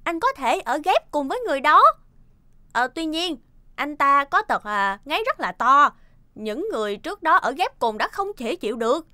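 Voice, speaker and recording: A woman's voice: sits high, big pitch swings, normal speed, sharp tone, no room sound, studio quality, full character voice. A woman playing a flustered, polite hotel receptionist in a cartoon, hesitant and deferential. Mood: apologetic. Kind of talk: comic cartoon dubbing. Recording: children's music behind the voice.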